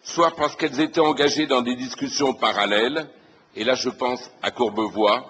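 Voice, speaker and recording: A man giving a formal, prepared speech as a councillor presenting a motion, in a husky voice. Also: mid-range voice, fairly even intonation, moderate pace, a bit bright, slight background noise. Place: large reverberant meeting room. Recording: reverberant hall.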